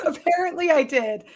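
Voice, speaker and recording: A woman livestreamer in casual, bantering conversation. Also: sits high. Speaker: livestreamer on a group stream.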